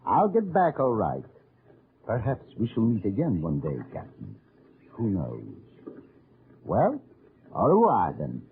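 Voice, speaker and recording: A man's voice: on the low side, wildly swooping pitch, a little slow, long pauses, noisy background, full character voice. An actor with a French accent playing a sly, fatherly resistance fighter in an old radio drama, with theatrical foreign phrasing. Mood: fond farewell. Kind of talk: 1940s-50s radio acting. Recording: tinny old radio recording, faint music.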